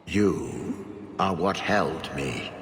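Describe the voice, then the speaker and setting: An actor playing an ancient monstrous magister in a fantasy game, grandiose and imperious, with archaic, commanding speech. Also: male voice, extremely low pitch, expressive, very slow, noisy background, full character voice. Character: ancient monstrous magister waking, imperious, bewildered, fanatically devout. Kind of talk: theatrical fantasy game acting. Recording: echoing cavernous reverb, loud music behind.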